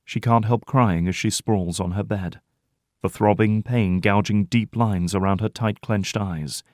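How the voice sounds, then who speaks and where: A man's voice: fairly low pitch, a little slow, darkish tone, no room sound, clean studio sound. A man delivering dramatic scripted narration as the narrator of an audio drama.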